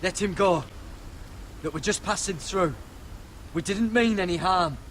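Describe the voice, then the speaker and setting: A man's voice: high voice, a little slow, fairly bright tone. An actor playing a wary, earnest young male traveller in a dramatic fantasy video game. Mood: anxious appeal. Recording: game audio under clear noise.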